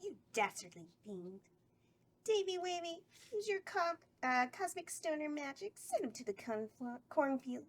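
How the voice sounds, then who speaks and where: A woman's voice: high voice, sing-song, a little slow. A woman reading the part of a scheming, bawdy horror hostess at a table read. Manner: brash, bawdy, giggles through lines.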